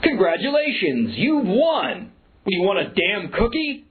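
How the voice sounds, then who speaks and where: A mocking, sarcastic game announcer voiced by a woman with a slow, theatrical delivery that swings wildly in pitch. The taunts are exaggerated and comedic. The audio is thin and lo-fi, like a phone line. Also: big pitch swings, husky, exaggerated voice.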